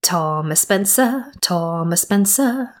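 A woman's voice: mid-range voice, animated, slow pace. Chatty young woman. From Skipton, North Yorkshire. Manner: rambling, playful asides. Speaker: podcast host. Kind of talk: chatty spoken introduction and interview.

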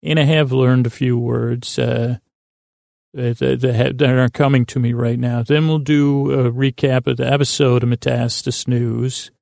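A man with a gravelly, mellow voice hosts a bedtime podcast. He rambles in a soothing, low-key way, stumbling and doubling back on his own words. The recording is close and dry. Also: flat delivery, no room sound. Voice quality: creaky. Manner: rambling, halting, self-correcting. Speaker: sleep podcast host. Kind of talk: meandering bedtime monologue.

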